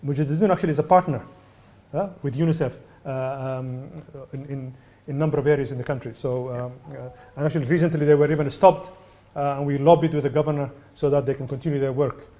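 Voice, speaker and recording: A man from Sudan, a UNICEF representative, answering press questions in a measured way with many hesitations. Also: mid-range voice, steady tone, mellow. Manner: measured, many ums and uhs, restarts. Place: indoor venue.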